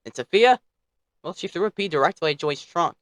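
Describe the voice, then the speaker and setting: A man's voice: on the high side, lively intonation, fairly quick, a bit bright, dry recording. Man narrating a bedtime story, dry recording.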